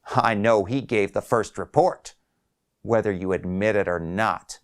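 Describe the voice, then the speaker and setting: A man voicing an indignant, desperate older man with a blustering, halting delivery in a short story. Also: on the low side, lightly acted. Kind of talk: acted short story dialogue.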